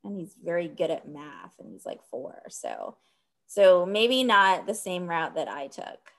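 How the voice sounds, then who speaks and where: A woman's voice: on the low side, wildly swooping pitch, measured pace, vocal fry. A woman in her thirties from Texas, an author answering interview questions thoughtfully, with frequent ums. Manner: thoughtful, lots of ums, laughs easily. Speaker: author and academic guest.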